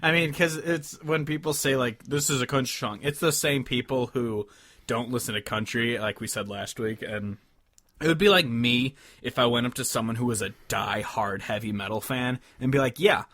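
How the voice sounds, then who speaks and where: A man's voice: medium pitch, normal speed, a bit bright. A man co-hosting a podcast, chatting about music in an informal, jokey, rambling way. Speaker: podcast co-host. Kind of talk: casual music chat.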